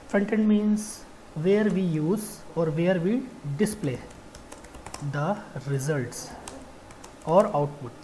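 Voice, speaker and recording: A software trainer giving an informal technical lecture, explaining in a halting, repetitive way with frequent check words. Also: medium pitch, slight background noise, rough recording.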